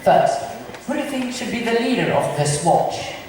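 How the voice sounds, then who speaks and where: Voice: medium pitch, expressive, normal speed, lightly acted. A student actor performing simplified Shakespeare on stage in an amateur drama club play, delivering lines in an everyday voice. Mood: pompous, instructing. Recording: echoey hall from far off, faint noise.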